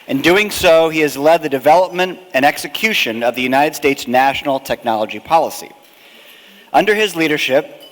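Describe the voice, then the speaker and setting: A man with a fairly high voice reads out a formal introduction at a measured, deliberate pace. His words ring through a large ballroom, and the long reverb trails after each phrase from the podium mic. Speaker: conference emcee introducing the speaker.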